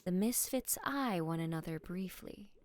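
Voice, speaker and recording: A woman's voice: lowish voice, lively intonation, normal speed, darkish tone, a little hiss or hum. A woman giving scripted narration for a fantasy story.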